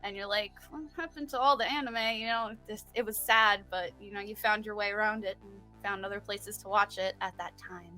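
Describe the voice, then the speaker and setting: A woman chatting casually and reminiscing in an expressive, giggly, chatty manner. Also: medium pitch, brisk, few pauses, faint music behind, poor audio. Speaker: guest singer.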